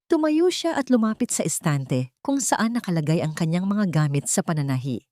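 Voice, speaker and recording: Low-voiced woman narrating a drama. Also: very animated, normal speed, darkish tone, dry recording, studio quality. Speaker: storyteller.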